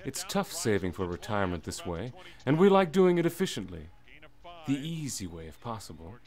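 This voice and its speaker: An actor playing a menacing robber's sidekick in a radio drama, with a dry, sardonic, threatening manner. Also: male voice, on the low side, very animated, normal speed, darkish tone.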